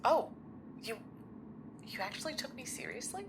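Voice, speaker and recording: A woman acting in an audio drama as a puzzled, nosy friend on the phone, expressive and incredulous. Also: sits high, normal speed. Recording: clearly noisy background.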